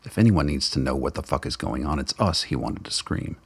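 A male narrator reads a radio drama script. His voice is extremely deep and gravelly, with a husky grain. The delivery is dramatic and scripted, recorded close and dry. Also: expressive, fairly quick, no room sound.

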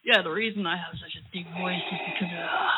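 A woman's voice: on the low side, quick pace, phone-quality sound, very noisy. A woman co-hosting an unscripted comedy talk radio show on a headset mic, playful and giggly. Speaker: radio co-host.

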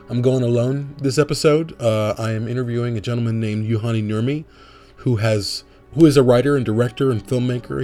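A man's voice: medium pitch, mellow. A man hosting a podcast, introducing the show and his guest in a friendly way. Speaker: podcast host.

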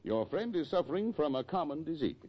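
An actor playing a polite but exasperated doctor, formal and a bit flustered, in a 1940s radio comedy. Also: male voice, fairly high pitch, very animated, fairly quick, slightly rough. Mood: reassuring. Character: exasperated, polite male doctor with dry patience. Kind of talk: 1940s radio sitcom acting. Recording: dull vintage 1940s radio.

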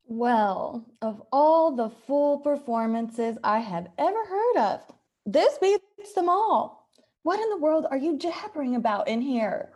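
A high, animated wife from a radio comedy, played by a woman. She draws out her sarcasm slowly and teases her husband with open mockery. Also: full character voice. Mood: mocking amusement. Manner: teasing, drawn-out sarcasm. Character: mocking, amused wife of a daydreaming husband. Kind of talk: classic radio comedy play acting.

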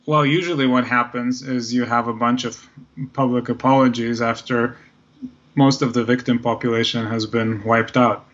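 A man's voice: mid-range voice, a bit bright, dull audio. A man from Israel in a thoughtful conversation, talking like an academic and often searching for words.

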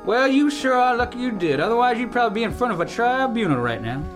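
A man acting a pompous, folksy older general who runs a pizza place, halting and self-important, in a comic radio play. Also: extremely high pitch, big pitch swings. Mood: confiding, solemn. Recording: music under the dialogue.

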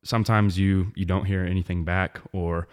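Young guy from missouri, really low voice, chill rambly podcast guest telling his story. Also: normal speed. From southwest Missouri. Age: young adult. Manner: relaxed, rambling, many fillers and restarts. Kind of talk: casual faith podcast interview.

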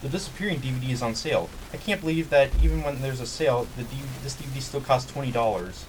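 A man's voice: medium pitch, quick pace, close to an everyday voice. A man playing an easygoing, conciliatory sidekick in an amateur audio comedy. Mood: friendly. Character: easygoing, bored male sidekick and peacemaker. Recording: distant mic, faint background hiss.

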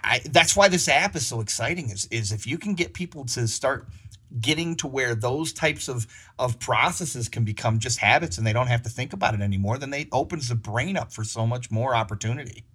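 A man's voice: mid-range voice, animated, brisk, bright, forceful tone, slightly rough. A man hosting a casual interview, talking in a rambling way with many restarts and laughs. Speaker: podcast host.

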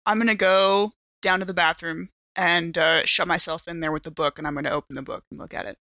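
Bright-voiced woman playing a tabletop game. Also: on the low side, steady tone, fairly quick, no echo. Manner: thinking aloud, informal. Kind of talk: casual, conversational game play on a podcast.

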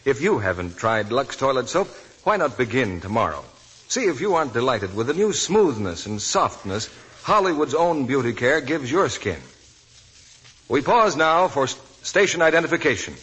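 Animated, husky male radio announcer. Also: medium pitch, moderate pace, rough recording. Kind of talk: scripted 1940s radio advertising.

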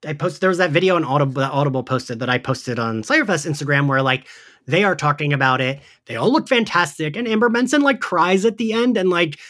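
A man hosting a podcast, chatting casually and informally with lively delivery. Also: a little high, a little fast. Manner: animated, informal. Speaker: podcast host. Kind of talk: casual fan podcast chat.

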